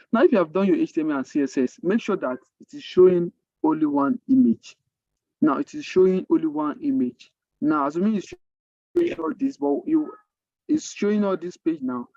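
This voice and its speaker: Higher-pitched Nigerian man teaching, dull lo-fi audio. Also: a little mellow, no echo. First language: Yoruba. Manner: halting, repetitive explaining with rhetorical questions. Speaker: coding mentor. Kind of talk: informal online teaching.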